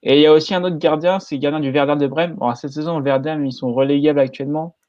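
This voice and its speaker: A man talking casually on a podcast, hesitant and filling pauses with euh. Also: fairly high pitch, fairly even intonation, darkish tone, no room sound, highs cut off. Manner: hesitant, many fillers. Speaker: podcast panelist.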